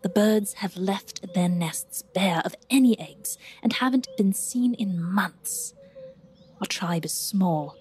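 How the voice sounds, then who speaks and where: A woman's voice: mid-range voice, takes it slowly, darkish tone, a little hiss or hum, close to an everyday voice. A woman playing a proud, fervent young tribeswoman, narrating her journal dramatically in an audio drama. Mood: grave.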